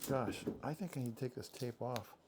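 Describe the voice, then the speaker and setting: A man narrating his own workshop project in a casual, halting way, thinking aloud and correcting himself as he goes. His voice sits at an ordinary middle pitch. Clicks and clear background noise run under the recording. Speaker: boat owner doing a DIY project. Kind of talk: casual DIY narration while working.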